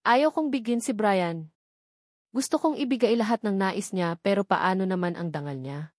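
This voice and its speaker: A woman's voice: medium pitch, moderate pace, dry recording, studio quality. A woman reading a romance novel aloud as a scripted first-person narration. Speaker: audiobook narrator.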